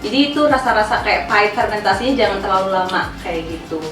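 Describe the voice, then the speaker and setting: A woman's voice: medium pitch, lively intonation, fast. Woman cook explaining, echoey room. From Indonesia. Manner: explains at length, many fillers. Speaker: guest, Korean food cook. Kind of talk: casual interview answers. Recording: echoey room, mic at a distance, music behind.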